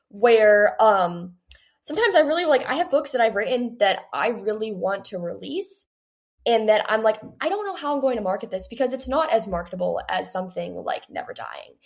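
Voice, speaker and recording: A young woman, eighteen, speaks in a middle-pitched voice as an interview guest. She is chatty and conversational, peppering her answers with "like" as she talks about her work as a writer. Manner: chatty, heavy use of "like". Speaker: guest, young adult author.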